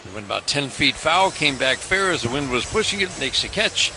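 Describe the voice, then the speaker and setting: A man's voice: mid-range voice, big pitch swings, a little fast. American male radio baseball announcer, medium register, live play-by-play, noticeable ballpark ambience behind. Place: stadium broadcast booth. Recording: clear outdoor ballpark ambience noise.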